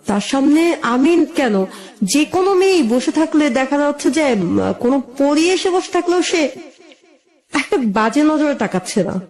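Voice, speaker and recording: A woman's voice: high-pitched, animated, moderate pace, darkish tone. A woman with a Bangladeshi accent telling her own life story as an interview guest, conversational, wistful and admiring.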